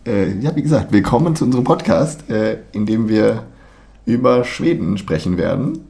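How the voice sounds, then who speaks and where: A thirty-year-old man from Thuringia in Germany, chatting casually as a podcast co-host, halting and dryly joking. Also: on the low side, expressive, gravelly, dark tone. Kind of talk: casual chat between two co-hosts.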